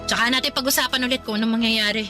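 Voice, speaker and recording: A bitter young Filipina student with a very high, bright voice. She is blunt and defiant, scolding in a Filipino accent, in radio drama style. Soft music plays underneath. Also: fairly even intonation, racing. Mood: stern. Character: defiant pregnant young student, bitter, sharp-tongued girlfriend.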